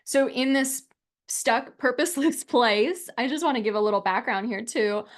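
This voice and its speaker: A woman's voice: fairly high pitch, normal speed. A woman in her thirties from the United States, teaching an online class as a coach in a chatty, upbeat way, with lots of "like" and "right?". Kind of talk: casual spoken class presentation over slides. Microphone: webcam and desk mic on a video call.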